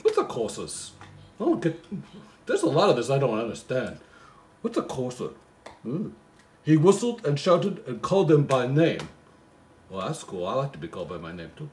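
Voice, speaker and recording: A man's voice: mid-range voice, huge pitch swings, moderate pace, pauses often, a little mellow, a little hiss or hum. A man reading a poem aloud with casual joking asides, in a rambling, comically baffled manner. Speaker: host reading a Christmas poem. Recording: echoey room.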